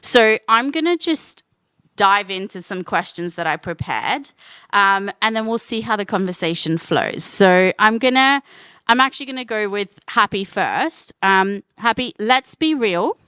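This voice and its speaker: A woman's voice: medium pitch, expressive, measured pace, bright, forceful tone, clean tone, no room sound. A woman hosting a live panel at a convention, upbeat and enthusiastic as she introduces guests and asks questions. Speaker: panel host and moderator. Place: convention stage.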